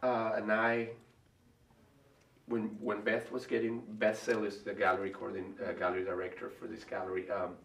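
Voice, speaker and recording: A middle-aged man with a Venezuelan Spanish accent, answering interview questions in a thoughtful, hesitant way with many pauses and restarts. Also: on the low side, monotone, gravelly, fairly bright tone. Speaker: artist and college faculty member. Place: room in front of a large painting. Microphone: far camera. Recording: echoey room from far off, faint hiss.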